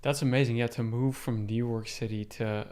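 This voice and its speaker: A man hosting a podcast interview, casual and relaxed in his questions. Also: mid-range voice, normal speed, clean tone. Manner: casual, swears lightly, brief backchannels. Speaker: podcast host.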